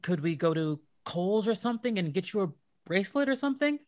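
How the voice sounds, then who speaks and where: A woman with an American accent playing a gentle, anxious young husband in a modern radio play, casual and conciliatory. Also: mid-range voice, lively intonation, fairly quick, no room sound, clean studio sound, natural voice. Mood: concerned.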